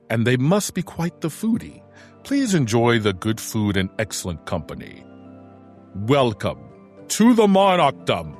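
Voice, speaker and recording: A man's voice: lowish voice, full character voice. A man playing a grandiose uncle who guards a hidden realm, speaking floridly in an audio drama. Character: theatrical, gruff-then-genial uncle guarding a hidden realm. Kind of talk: audio drama character acting.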